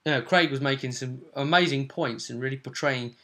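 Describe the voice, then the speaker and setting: A British man casually recounting a tabletop role-play session as game master, acting out what the players said in an animated way. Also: mid-range voice, brisk.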